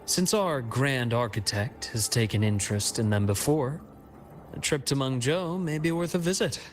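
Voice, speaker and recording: An actor playing a composed, shrewd investigator in a video game, speaking in a measured, analytical way. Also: male voice, medium pitch, animated, faint music behind, natural voice. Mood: measured, cautious. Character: composed, shrewd male investigator sharing intelligence. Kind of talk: scripted video game dialogue.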